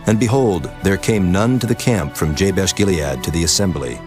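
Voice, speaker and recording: A man narrating a dramatized scripture reading in a solemn, measured way, with music behind. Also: low voice. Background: background music.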